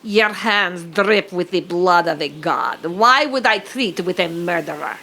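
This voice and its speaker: A woman playing an ancient, menacing and haughty witch in an audio drama, speaking in formal, archaic, deliberate phrases. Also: fairly low pitch, bright, forceful tone, full character voice. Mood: accusing. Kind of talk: audio fiction acting.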